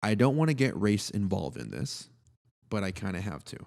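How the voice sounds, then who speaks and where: A man's voice: sing-song, normal speed, creaky, darkish tone. A man, co-hosting a podcast in a laid-back, joking, slangy way. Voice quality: deep voice. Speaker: podcast co-host. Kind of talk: casual chat.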